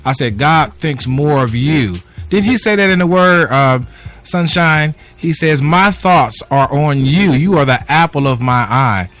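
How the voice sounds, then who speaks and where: A man's voice: on the high side, unhurried, a bit bright, faint music behind. A man hosting a radio interview show, speaking in a sing-song, preacherly way with effusive introductions.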